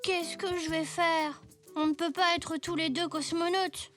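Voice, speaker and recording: A performer voicing an eager young boy zebra in a children's animated series, with an expressive, childlike delivery. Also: very high-pitched, measured pace, soft background music. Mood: dismayed.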